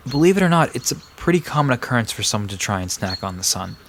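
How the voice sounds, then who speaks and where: A man's voice: mid-range voice, sing-song, fast talker, gravelly, fairly bright tone, a little hiss or hum. A man playing a laid-back, bookish park resident in an audio drama, casually explaining myths with a dry manner. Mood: amused, informative. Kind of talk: conversational audio drama storytelling.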